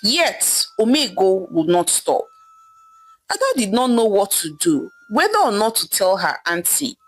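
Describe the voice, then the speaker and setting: A woman narrating a scripted folktale in a dramatic, expressive storytelling manner. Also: medium pitch, unhurried, rough recording. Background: background music.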